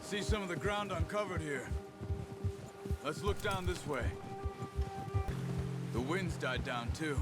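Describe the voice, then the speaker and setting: A man playing a calm, stoic outlaw hunter in a video game, speaking tersely. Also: on the high side, takes it slowly, long pauses. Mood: hushed focus. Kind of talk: video game cutscene acting. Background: music.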